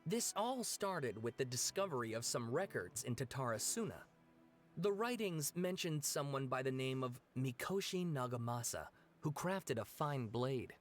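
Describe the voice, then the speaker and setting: A man's voice: mid-range voice, lively intonation, normal speed, faint music behind, lightly acted. An actor playing an amiable, slightly awkward young male researcher in a video game. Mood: explaining. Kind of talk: video game dialogue acting.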